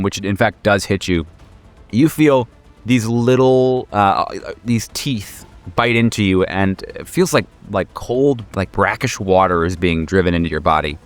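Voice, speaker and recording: A man narrating a tabletop game as game master in a casual, halting, wryly playful way, often searching for words. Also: fairly low pitch, animated, faint music behind. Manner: wry, playful, slangy.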